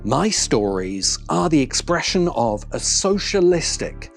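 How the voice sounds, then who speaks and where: A man reading scripted essay narration in a measured, formal manner. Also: medium pitch.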